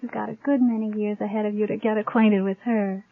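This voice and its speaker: An actress playing a young woman lieutenant and new mother on a space station in a 1950s radio drama, sharp and loving. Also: mid-range voice, fairly even intonation, a little fast, lightly acted. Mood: happy, teasing. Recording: 1950s radio, narrow tinny band.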